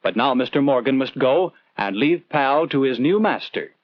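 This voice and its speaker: A man's voice: medium pitch, lively intonation, normal speed, no echo, dull audio. A man narrating a scripted 1940s instructional film in an earnest, conversational storytelling manner, putting questions to the viewer, on an old film soundtrack.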